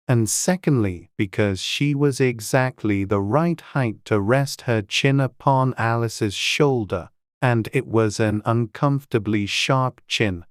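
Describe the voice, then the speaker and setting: A woman narrates a storybook in an exceptionally low voice. It is scripted audiobook reading, recorded close and dry with no room sound. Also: dry recording.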